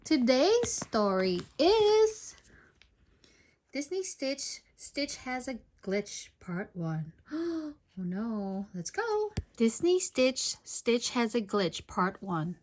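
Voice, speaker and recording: Female storytime narrator, unhurried, expressive, speaking straight to young kids. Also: a little high. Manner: expressive, addressing young children. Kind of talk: children's read-aloud narration.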